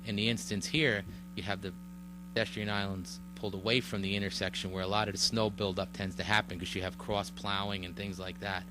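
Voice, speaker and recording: A man speaking at a village board meeting, explaining a road project in a halting way with many fillers and restarts. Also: on the low side, flat delivery. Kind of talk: public meeting testimony. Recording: mains hum under the voice.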